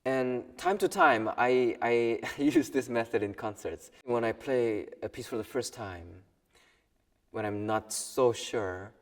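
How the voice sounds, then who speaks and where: A young man in his twenties from South Korea, a violin student, speaking thoughtfully with pauses in an interview. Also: medium pitch, long reverb tail, distant mic. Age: 20s. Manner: thoughtful, soft-spoken with pauses and small laughs. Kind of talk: personal documentary interview.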